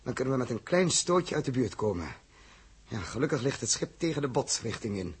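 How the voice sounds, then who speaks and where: An actor playing a brisk, decisive male spaceship captain in a 1960s radio drama, urgent, clipped and commanding. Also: medium pitch, big pitch swings, noisy background, lightly acted. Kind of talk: 1960s Dutch radio science-fiction acting.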